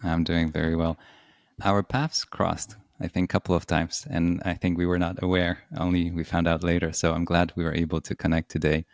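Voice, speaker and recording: A very deep, gravelly male voice with a Polish accent, hosting an interview podcast. He talks in a flat, even line and asks open questions at an unhurried pace. The sound is dry and close. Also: no room sound. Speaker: podcast host.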